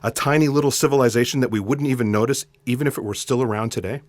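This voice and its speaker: An actor playing an earnest, literal-minded special agent with a polite, formal, deadpan manner in a comic audio drama. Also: male voice, medium pitch, racing, no room sound, lightly acted. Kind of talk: comic sci-fi audio theatre acting.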